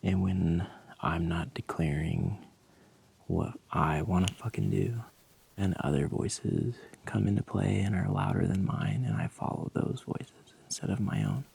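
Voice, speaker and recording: A young man talking candidly to his camera in a loose, soft-voiced way, swearing often and laughing at himself. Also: sits low, expressive, measured pace, vocal fry, husky. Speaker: vlogger. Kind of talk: casual reflective talk to camera.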